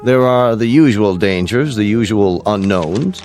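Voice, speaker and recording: An American actor playing a grave, paternal space-program scientist in a radio drama, speaking formally, deliberately and with emphasis. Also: male voice, on the low side, darkish tone, close to an everyday voice. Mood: grave, instructive. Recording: music behind the voice.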